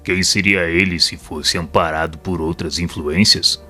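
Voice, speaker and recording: A very deep-voiced, wise male spirit mentor, played by a man, with a Brazilian accent and a forceful, bright edge. He speaks solemnly and patiently, persuading in measured phrases, with faint music underneath as in an audio drama. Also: steady tone. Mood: solemn, persuasive.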